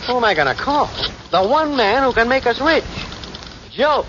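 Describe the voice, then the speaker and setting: High-voiced, swooping small-time schemer, slangy and cocksure, vintage radio comedy. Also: male voice, very animated, normal speed. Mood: cocky. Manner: slangy, cocksure, theatrical sweet-talk. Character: brash scheming small-time city hustler boyfriend. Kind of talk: 1940s radio comedy acting. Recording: noisy, muffled 1940s radio recording.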